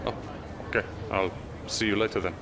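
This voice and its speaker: An actor playing a competitive, level-headed male racing driver in a game cutscene, measured and dryly needling. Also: fairly low pitch, steady tone, gravelly, background noise. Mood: measured, gracious. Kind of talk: video game cutscene acting.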